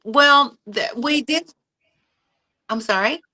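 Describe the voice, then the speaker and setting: A woman with a bright, forceful voice tells her stories at an easy pace with lots of fillers. She sounds middle-aged and speaks like a guest sharing memories in a relaxed chat. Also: high-pitched, takes it slowly. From North Carolina. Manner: animated, warm storytelling with frequent fillers. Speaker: singer and interview guest. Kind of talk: conversational storytelling.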